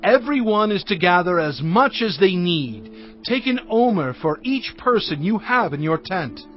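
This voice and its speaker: A man's voice: sits high, very animated, a little slow. A man playing Moses as a stern leader, speaking in an earnest, emphatic way, on dull audio with faint music. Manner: earnest, emphatic preaching delivery.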